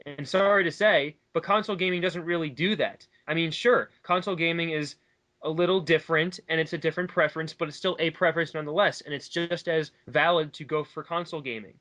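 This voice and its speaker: A man's voice: fairly high pitch, fairly even intonation, quick pace, sharp tone. A man in a casual, opinionated discussion, talking at length in an emphatic, argumentative way. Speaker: online commentary co-host.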